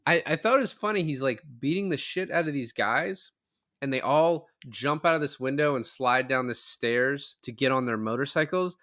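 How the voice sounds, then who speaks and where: A man's voice: medium pitch, normal speed, a bit bright, dry recording, clean studio sound. A man in a casual film-recap chat, rambling along and acting out imagined lines as he goes.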